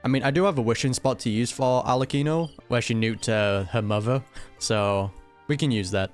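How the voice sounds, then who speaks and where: A man's voice: medium pitch, normal speed. A man giving casual, slangy live commentary as a gaming streamer, with wry asides. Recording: game music behind the commentary.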